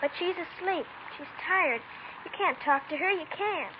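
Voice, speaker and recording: A woman's voice: extremely high pitch, normal speed. A woman in 1950s radio acting voicing a frightened, defensive young girl who answers in a guarded, insistent way. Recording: dull old radio broadcast with hiss.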